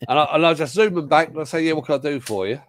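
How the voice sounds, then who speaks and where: A man's voice: on the high side, rapid-fire. Blunt swearing older British man. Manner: blunt, swears casually, frequent restarts. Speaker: host. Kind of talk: casual live-stream chat.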